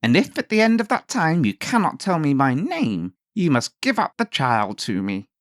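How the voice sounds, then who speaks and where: A man voicing a comical, gloating, quick-tempered little goblin man in a storybook tale, with theatrical, taunting delivery. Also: medium pitch, big pitch swings, no room sound, clean studio sound, pitched above the performer's own voice, close to an everyday voice. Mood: demanding, sly. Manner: theatrical, exclaiming, drawn-out taunts.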